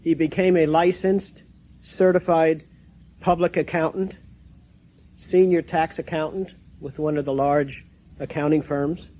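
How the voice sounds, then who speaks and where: Mellow American man reading a formal introduction. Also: a little high, fairly even intonation, a little slow, lo-fi sound. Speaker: seminar host introducing speakers. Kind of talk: formal scripted introduction read aloud.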